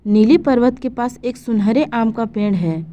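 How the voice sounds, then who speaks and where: A woman's voice: a little high, normal speed, dark tone, close to an everyday voice. A woman voicing a friendly, wise bird doctor in a children's cartoon, composed and helpful, over faint ambient sound. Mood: concerned, wise. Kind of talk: Hindi children's cartoon voice acting.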